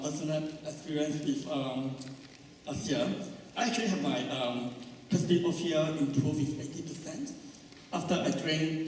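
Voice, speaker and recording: A man speaking on a panel, hesitant with many pauses and ums. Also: on the high side, darkish tone, a little hiss or hum. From Malaysia. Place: large event hall. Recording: echoey hall, distant mic.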